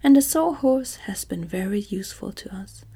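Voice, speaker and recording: A modest, eager young boy whispers his lines, voiced by a woman. The tone is mellow and breathy with a slight gravelly rasp. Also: mid-range voice, expressive, moderate pace. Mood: earnest. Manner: soft whispered delivery.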